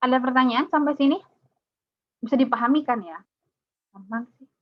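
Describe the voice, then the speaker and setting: A woman's voice: sits high, moderate pace, dry recording. A middle-aged woman lecturer with a light Sundanese accent, giving an online class in a chatty way, full of fillers and restarts. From Sundanese region of West Java. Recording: dull, dry lo-fi call audio.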